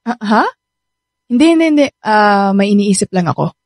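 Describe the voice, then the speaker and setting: A woman playing a hesitant, soft-hearted young woman in a Filipino radio romance, speaking with a Filipino accent. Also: medium pitch, animated, normal speed, no room sound, studio quality. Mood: distracted, uneasy. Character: young woman torn between boyfriend and friend. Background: background music.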